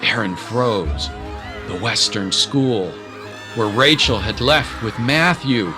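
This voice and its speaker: Slow, solemn male storyteller over music. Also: medium pitch, expressive. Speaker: narrator. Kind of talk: dramatic scripted story narration. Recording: dramatic music bed.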